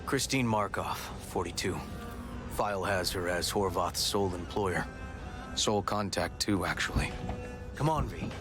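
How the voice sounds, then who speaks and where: Seasoned game detective, male, on the low side, dry, wry and unruffled, music underneath. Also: measured pace. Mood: dry, composed. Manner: dry, wry, matter-of-fact. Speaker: police detective character. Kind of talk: naturalistic video game acting.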